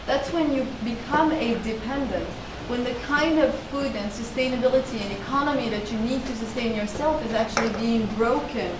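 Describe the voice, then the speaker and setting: Woman lecturing from far in an echoey room. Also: a little high. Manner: earnest, explanatory, with frequent restarts. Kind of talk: academic talk answering audience questions. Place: seminar room with a panel table. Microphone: table mic on a stand. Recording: echoey room, distant and noisy.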